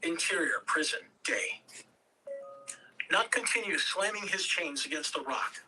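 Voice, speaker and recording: A man narrates a screenplay's scene headings and action at an amateur table read. His voice is high for a man and very bright, picked up a little away from the microphone with faint room noise. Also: lively intonation, a little slow, distant mic, faint music behind, rough recording. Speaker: stage-direction reader.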